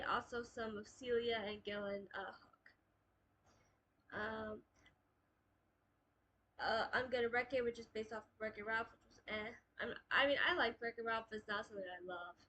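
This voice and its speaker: A woman's voice: on the high side, bright, forceful tone, low-quality recording. A young woman with a stuffy, nasal voice from a cold, chatting casually and rambling through her monthly book wrap-up with many ums and restarts. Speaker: booktube host.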